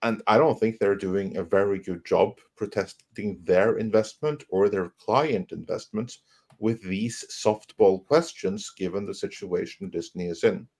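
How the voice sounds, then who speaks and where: A man giving emphatic, critical commentary on a live panel, often halting and repeating words as he goes. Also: on the low side, darkish tone, breathy edge.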